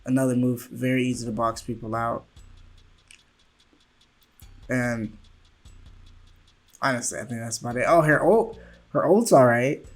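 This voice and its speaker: A man's voice: mid-range voice, unhurried, pauses often. A man with a nasal voice and a sore throat, recovering from illness, ranting in a game commentary video and getting exasperated at points. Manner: ranting, exasperated, rising and louder when annoyed. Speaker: gaming YouTuber.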